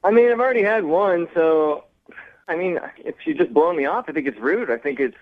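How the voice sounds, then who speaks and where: A man's voice: on the high side, expressive, brisk. A man calling in to a radio show over the phone, chatting casually in an earnest, slightly hesitant way. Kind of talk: casual chat. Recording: dull lo-fi phone line.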